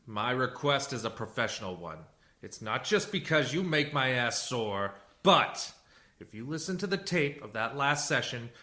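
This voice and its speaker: A man's voice: medium pitch, pushed and bright. A man acting in a radio play as a blunt, self-assured civilian interrogator and psychologist who talks in a dry, cutting way. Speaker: actor.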